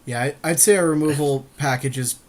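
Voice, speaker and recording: A man's voice: fairly high pitch, brisk. A man co-hosting a podcast, chatting casually and colloquially as he reasons through a card game draft. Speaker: podcast co-host.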